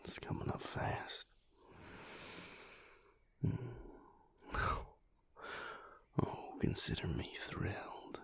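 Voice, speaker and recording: A man playing an affectionate, teasing vampire lover in an intimate audio roleplay, speaking softly and unhurriedly in a whispery, gravelly voice. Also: lightly acted. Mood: dry, sardonic. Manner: unhurried, soft, drily teasing.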